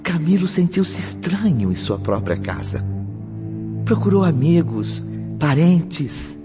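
A man narrating a radio drama in a grave, measured storytelling voice. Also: on the high side, sing-song. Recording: muffled track with music.

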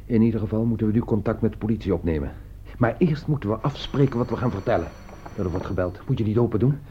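A man's voice: fairly low pitch, fast, a little hiss or hum, natural voice. A man playing a level-headed, practical writer in a radio drama, firm and reasoning as he takes charge. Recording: muffled old radio play recording.

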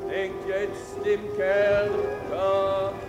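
Street balladeer, high and extremely slow sung delivery, loud music, radio play. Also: male voice, monotone. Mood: mournful narrative. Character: street ballad singer telling a murder tale. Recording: echoey, loud music accompaniment.

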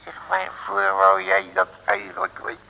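An actor playing a blustering, wisecracking detective with colloquial, stammering delivery in an old radio serial. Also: male voice, high-pitched, very animated, normal speed, creaky, bright, forceful tone, heavily acted. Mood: flustered. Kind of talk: 1960s radio serial acting. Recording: reverberant old radio recording.

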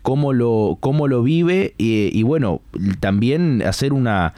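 A man with a Rioplatense Spanish accent hosting a live radio news interview, speaking haltingly as he searches for his words. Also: medium pitch, expressive, mellow, no room sound.

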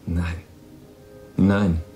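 A man's voice: sits low, little pitch movement, drawn-out words, dark tone. An actor playing a guarded, lonely American runaway teenage boy in a German radio drama. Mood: shy, reticent. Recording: music behind the voice.

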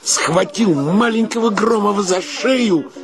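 A man narrating a radio play in an expressive, theatrical, literary storytelling manner. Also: high voice, unhurried, crowd noise.

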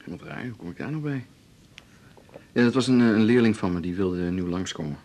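An actor playing a casual, evasive boyfriend in a naturalistic radio drama. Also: male voice, lowish voice, a little fast, a little mellow, close to an everyday voice.

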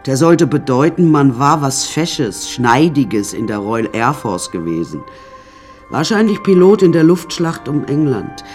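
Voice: medium pitch, darkish tone, close to an everyday voice. An actor playing an imperious, eccentric older woman painter in a radio drama, haughty and theatrical, addressing the listeners directly. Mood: wry reminiscing.

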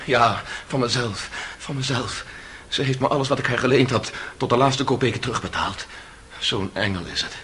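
An actor playing a passionate, proud and anguished defendant in a radio drama, speaking theatrically between hush and outburst. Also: male voice, medium pitch, moderate pace, close to an everyday voice. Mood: rueful. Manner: theatrical, swinging from hushed to outburst. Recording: noisy old radio drama recording.

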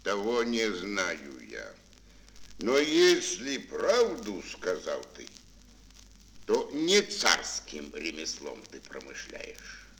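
A man playing a stern, haughty tsar with a deliberate, imperious manner in a children's fairy-tale play. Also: low voice, wildly swooping pitch, gravelly, fairly bright tone, heavily acted, rough recording. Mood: imperious, reproving. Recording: old mono record with faint hiss.

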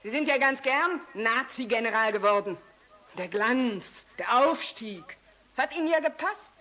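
High-voiced, brazen young fanatic woman. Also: animated, normal speed, pushed and bright. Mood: urgent, goading. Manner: cheeky, provocative, haughty. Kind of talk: German radio play acting.

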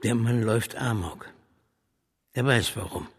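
Radio drama: male revolutionary, medium pitch, rough voice, resolute. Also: measured pace. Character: determined male revolutionary committee member. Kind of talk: German radio drama acting.

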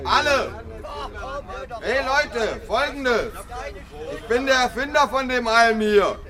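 Shrill, high-pitched boastful street ranter. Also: male voice, lively intonation, takes it slowly, a crowd in the background, heavily acted. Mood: commanding, boastful. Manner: declamatory, boastful, insistent. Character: shrill drunken self-proclaimed inventor of everything, pestering street crowd. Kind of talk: radio play acting.

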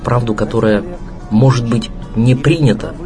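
A man giving a reflective tribute, speaking earnestly in short, measured, halting phrases. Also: medium pitch, steady tone. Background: music.

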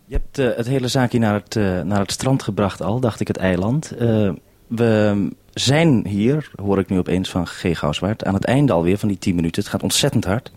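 A man's voice: lowish voice, normal speed, darkish tone, dry recording. A man interviewing someone live on the radio in a conversational way, putting questions to a guest at a distance. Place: radio base talking to a remote guest.

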